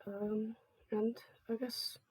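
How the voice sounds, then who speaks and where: A woman, an amateur voice actor, talking casually in a hesitant, halting way. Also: on the high side, level tone, darkish tone, slightly rough, no room sound, poor audio. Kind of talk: casual talk to camera or mic.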